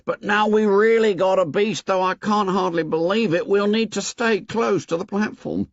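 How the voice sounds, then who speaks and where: A man's voice: high voice, fairly even intonation, moderate pace, no room sound, highs cut off, pitched above the performer's own voice. A man voicing a fussy, indignant boy who lectures the others in ungrammatical speech.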